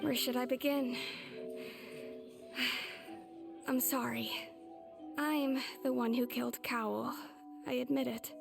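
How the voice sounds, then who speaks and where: A woman's voice: high voice, fairly even intonation, takes it slowly, creaky, long pauses, heavily acted. A woman playing a cornered, earnest young female thief confessing in a video game. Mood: resigned, remorseful. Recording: background music.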